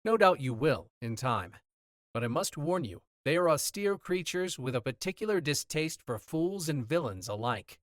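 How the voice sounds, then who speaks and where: A man voicing a reserved, protective knight who speaks in a low, measured way. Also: big pitch swings, dry recording, clean studio sound. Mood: grave.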